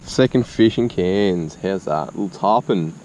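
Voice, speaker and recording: A man's voice: low voice, lively intonation, a little slow, warm tone, muffled recording. A young Australian man chatting casually in laid-back slang as he vlogs a fishing trip outdoors. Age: young adult. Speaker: fishing vlogger. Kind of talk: casual vlog chat while fishing. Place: outdoors on beach and creek bank. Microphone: chest-mounted action camera. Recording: noisy outdoor action camera, wind.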